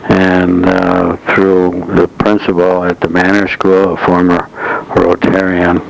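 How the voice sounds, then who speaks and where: An elderly man recounts local club history in measured, halting phrases, as in a slow oral history. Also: low voice, monotone, vocal fry, lo-fi sound. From United States, upstate New York. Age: 70s or older. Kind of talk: slow oral history reminiscence, partly as if from notes. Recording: noisy old reel-to-reel tape transfer.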